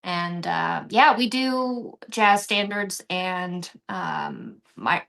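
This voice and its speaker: A woman's voice: on the low side, lively intonation, drawn-out words, creaky, fairly bright tone. An American woman from Texas talking casually and animatedly as an interview guest, telling personal stories. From San Antonio, Texas, United States. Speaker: interview guest, actress and swing band musician.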